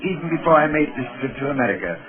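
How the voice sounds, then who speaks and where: Guy playing a polite scholarly foreign doctor, formal and measured, tinny 30s radio w crowd murmur. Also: fairly high pitch, lively intonation, close to an everyday voice. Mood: genial, curious. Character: courteous, learned foreign psychiatrist, a gentleman doctor. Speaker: radio actor. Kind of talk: 1930s radio drama acting.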